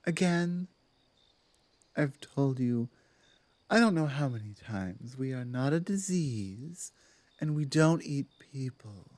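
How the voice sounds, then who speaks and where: A woman voicing a dominant but softening werewolf pack leader in an intimate ASMR roleplay, speaking in measured, deliberate phrases with weighty pauses. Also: rumbling low, expressive, no room sound, full character voice. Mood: stern, firm. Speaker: audio roleplay performer.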